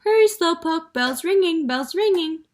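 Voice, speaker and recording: A woman's voice: animated, normal speed. A woman voicing a rude, bossy pet parrot that squawks and repeats its taunts. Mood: squawking taunts. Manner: shrill, repeats taunts over and over. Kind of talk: storybook read-aloud.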